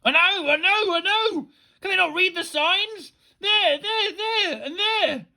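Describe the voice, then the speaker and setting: Woman as a frantic male teacher, bellowing, spluttering, high pitched, wildly swooping, storybook figure. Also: expressive, moderate pace, vocal fry, slightly rough. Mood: outraged. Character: manic, thundering male schoolteacher obsessed with banning balls.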